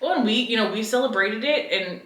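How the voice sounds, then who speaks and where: A woman's voice: fairly high pitch, brisk, a bit bright. A woman from the American Midwest with an American accent, co-hosting a casual podcast discussion on faith. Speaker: podcast co-host. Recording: roomy echo.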